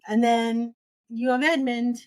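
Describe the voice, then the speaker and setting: A woman's voice: fairly high pitch, little pitch movement, unhurried, a little mellow, no echo. A woman co-hosting a podcast in a casual book discussion, speaking in an opinionated, playfully emphatic way.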